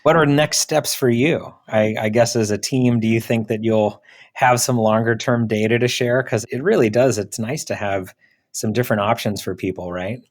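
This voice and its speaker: A man's voice: mid-range voice, steady tone, normal speed. A man who is a physician hosting a medical podcast, talking conversationally with informal, affirming phrasing.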